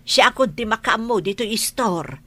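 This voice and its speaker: A woman acting a bossy but kindly middle-aged aunt in a Filipino radio drama, husky, with a Filipino accent. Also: fairly high pitch, animated, normal speed, background noise, lightly acted. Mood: teasing, encouraging.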